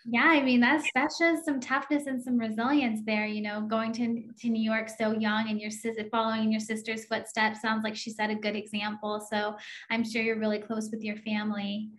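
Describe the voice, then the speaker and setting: A woman with a fairly high voice hosts the interview. She asks short, encouraging follow-up questions. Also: level tone, moderate pace, fairly bright tone. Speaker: interviewer, host.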